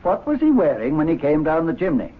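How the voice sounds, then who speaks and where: An actor playing a scornful, amused skeptic at a gentlemen's club in a radio drama, sardonic and emphatic. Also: male voice, a little high, huge pitch swings, brisk, husky, full character voice. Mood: mocking. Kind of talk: 1970s radio drama acting. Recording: tinny, narrow old radio broadcast.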